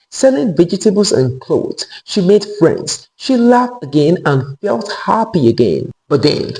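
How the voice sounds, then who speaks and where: Male storyteller for scripted folktale, high voice with heavy creak, animated pitch movement. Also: low-quality recording. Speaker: storyteller narrator.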